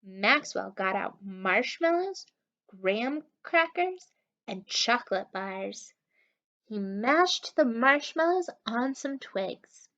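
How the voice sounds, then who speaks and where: A woman reading a story aloud to young children as a teacher, animated and encouraging, talking directly to her listeners. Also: fairly high pitch, takes it slowly, highs cut off. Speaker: children's story time reader and literacy teacher.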